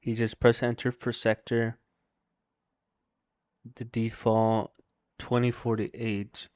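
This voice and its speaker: A man with a Mexican accent talks slowly through a livestream, muttering to himself as he works and reading chat lines aloud. His voice is smooth and clear. It is recorded dry and a little dull. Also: medium pitch, level tone, a little mellow, no room sound. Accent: Mexican Spanish. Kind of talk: casual live commentary while installing software.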